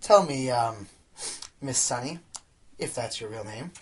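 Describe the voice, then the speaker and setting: An actor playing an excitable, self-important pony inventor who fancies being a spy, in a radio play with a theatrical delivery. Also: mid-range voice, a little slow, close to an everyday voice. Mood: probing, sly. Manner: theatrical, hesitant fillers amid boasting. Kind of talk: amateur radio play acting.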